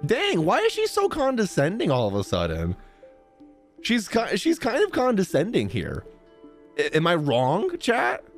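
A man's voice: high voice, wildly swooping pitch, a little slow. Playful male streamer, thirties, casual reactions with swooping intonation, close mic, light music behind. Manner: sassy, slangy, playful. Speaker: game streamer. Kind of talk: casual live reaction commentary. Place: indoor streaming room.

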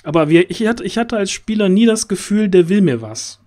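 A man's voice: high-pitched, animated, fairly quick, darkish tone. A man chatting casually and giving feedback on a video call, feeling his way thoughtfully through his sentences and often rephrasing. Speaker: tabletop role-play streamer and player. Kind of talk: casual chat, giving feedback after a game. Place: indoors on a group video call.

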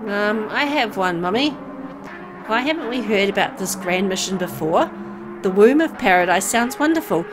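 A woman's voice: mid-range voice, animated, moderate pace, background noise, close to an everyday voice. A woman voicing a devoted, eager-to-please young boy in a visual novel, earnest and fawning. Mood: eager, adoring. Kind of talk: visual novel dialogue read aloud.

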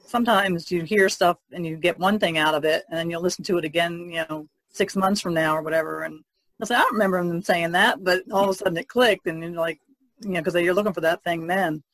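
A woman's voice: on the low side, steady tone, quick pace, a bit bright, dry recording, poor audio. A woman chatting informally as a podcast co-host, repeating words as she thinks. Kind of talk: casual chat.

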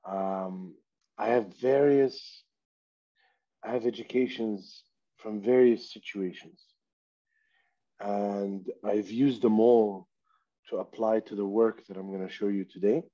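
Slow, mellow man on a headset call. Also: lowish voice, steady tone. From Saudi Arabia. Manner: slow, reflective, speaks of himself in third person. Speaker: artist guest. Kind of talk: video call interview. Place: small room indoors. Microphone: headset on video call. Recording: dull lo-fi call audio.

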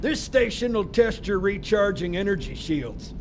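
A man playing a brisk, grumbling older military armorer in a video game, matter-of-fact and gruff. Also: high voice, lively intonation, slight background noise. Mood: brisk instruction. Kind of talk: video game character acting.

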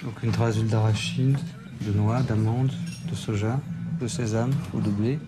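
An actor playing a fussy, inquisitive prosecutor with a deadpan, precise manner in a television crime drama. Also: male voice, on the low side, normal speed, heavy background noise, lightly acted. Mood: dry, deadpan. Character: fussy, allergy-ridden prosecutor, dry and inquisitive. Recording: TV drama clip with loud music.

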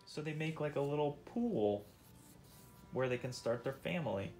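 A man's voice: a little high, animated, normal speed, a little mellow, faint music behind. A man reading a nonfiction picture book aloud to his child, explaining and asking questions as he goes. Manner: explains and asks questions as he reads.

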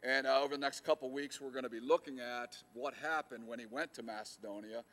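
Earnest middle-aged pastor preaching. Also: male voice, medium pitch, fairly even intonation, fairly quick, a bit bright. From United States. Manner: earnest, with frequent fillers and restarts, rising emphasis on key words. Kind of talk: sermon. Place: church sanctuary, at a pulpit. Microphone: lapel mic.

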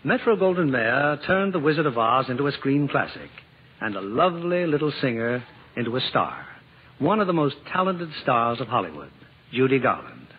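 A man's voice: mid-range voice, sing-song, moderate pace, breathy edge. A man hosting and narrating in a formal, scripted vintage radio style. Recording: muffled old radio broadcast, music behind.

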